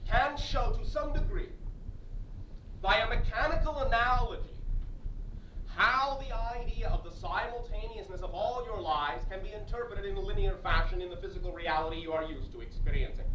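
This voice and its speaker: A man channeling an alien entity who lectures on metaphysics with emphatic, staccato stresses and deliberate repetition. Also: high voice, bright, forceful tone. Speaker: channeler addressing a live group. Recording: echoey far-off 1980s cassette, faint hiss.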